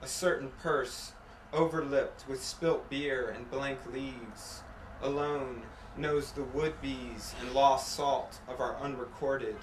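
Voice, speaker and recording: A man reading poetry aloud in a measured, deliberate way, with pauses between short phrases. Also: medium pitch, steady tone. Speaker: poet reading own work. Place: apartment room at a live reading. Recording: echoey room, faint background noise.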